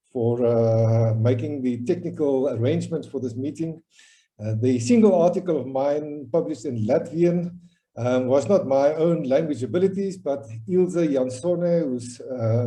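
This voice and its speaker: A man with a South African accent giving an academic lecture online, measured and wry. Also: medium pitch, dark tone. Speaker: guest lecturer, professor. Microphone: video call.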